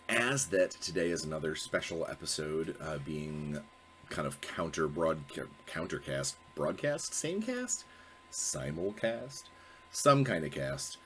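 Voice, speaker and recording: A man's voice: sits low, slight background noise. A man hosting his own video show, talking casually while congested and sniffly from a cold, rambling and correcting himself with lots of fillers. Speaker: host. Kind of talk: casual solo video talk.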